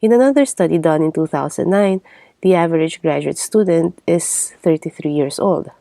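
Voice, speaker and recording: Low-pitched woman podcast host, mellow. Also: normal speed, creaky, no echo. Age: 30s. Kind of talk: reflective scripted monologue.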